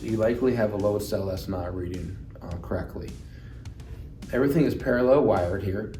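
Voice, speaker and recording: A man's voice: fairly low pitch, mellow. A man giving an instructional product demonstration, explaining things plainly and step by step. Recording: roomy echo, distant mic, music underneath.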